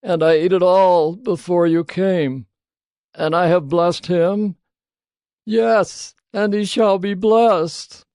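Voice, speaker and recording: A man's voice: high voice, slow, dark tone, no echo, studio quality, voice pushed up. A man voicing a frail, solemn old father in a dramatised scripture reading, speaking in a declamatory way. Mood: shaken. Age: older.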